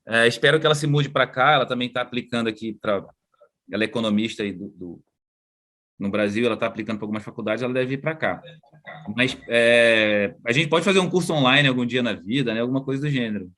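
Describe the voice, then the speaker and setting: Brazilian researcher guest, relaxed, slangy, chatty, heard over a video call. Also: male voice, mid-range voice, fast talker. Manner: chatty, slangy, full of tag questions. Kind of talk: casual video-call interview. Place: outdoors at home, moving inside.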